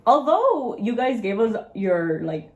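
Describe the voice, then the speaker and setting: A woman's voice: a little high, very animated, slow pace, a little mellow, highs cut off. Playful young woman co-host. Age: young adult. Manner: joking, playful. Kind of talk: casual chat. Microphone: video call.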